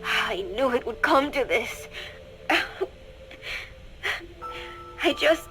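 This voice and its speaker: An actress playing a young female spy in a video game monologue, coldly resolved yet fragile, speaking in measured, deliberate phrases. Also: a little high, a bit bright. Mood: tearful, determined. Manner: measured, deliberate, introspective confession.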